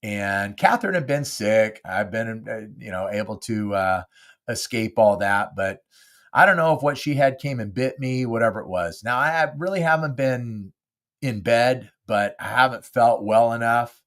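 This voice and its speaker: A man's voice: medium pitch, lively intonation, normal speed, a bit bright. A man hosting his own podcast, chatting casually and rambling with lots of fillers while nasal and congested from a cold. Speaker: podcast host. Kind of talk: casual solo monologue. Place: home studio.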